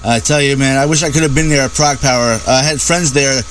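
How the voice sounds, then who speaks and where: A man's voice: mid-range voice, fairly even intonation, fairly quick, fairly bright tone, rough recording. A man hosting a radio show, interviewing a singer with fan-like enthusiasm. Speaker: radio host. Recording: clear background noise.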